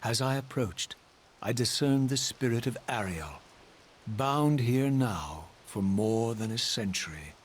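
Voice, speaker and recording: An actor playing a tormented male wraith in a dark fantasy game, speaking with grave, formal, coldly scornful eloquence. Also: mid-range voice, expressive, slight background noise, natural voice. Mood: brooding, grave. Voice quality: grave, theatrical delivery. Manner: formal, deliberate, archaic eloquence. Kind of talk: dramatic video game voice acting.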